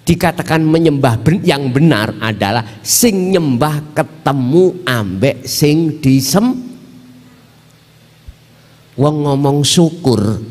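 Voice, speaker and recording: A man's voice: fairly high pitch, darkish tone. A man with a Javanese accent preaching an Islamic lecture in a didactic way, putting rhetorical questions to his listeners. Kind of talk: religious lecture mixing teaching and colloquial asides. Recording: hall with long reverb.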